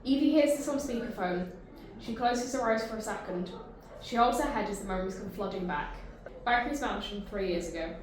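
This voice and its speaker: A woman's voice: medium pitch, animated, brisk, very echoey, a little hiss or hum. A woman reading narration and stage directions at a table read.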